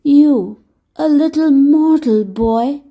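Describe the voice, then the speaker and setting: A woman's voice: sits high, mellow, pitched above the performer's own voice. A woman doing a gloomy, mournful egg prince. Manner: slow, mournful and drawn out.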